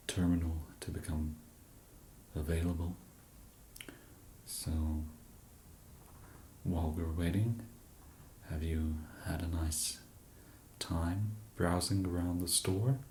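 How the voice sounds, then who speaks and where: An English man in an ASMR roleplay as a shop genius, speaking softly and hesitantly with many fillers and a dry, self-deprecating humour. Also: very deep voice, reverberant, slight background noise. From England.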